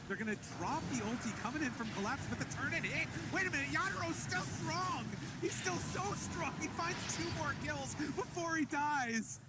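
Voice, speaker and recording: A man's voice: extremely high pitch, expressive, moderate pace, hardly any pauses, heavy background noise. A man doing live play-by-play commentary on an esports match, calling the action in a reactive, dramatic way.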